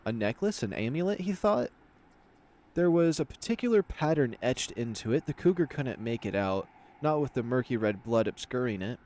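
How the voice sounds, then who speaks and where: A man's voice: medium pitch, sing-song, normal speed, darkish tone. Man reading a visual novel aloud. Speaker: video game let's player.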